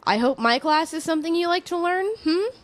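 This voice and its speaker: A woman playing a kindly college professor in a naturalistic audio drama, fond and gently teasing. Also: extremely high pitch, expressive, a little fast.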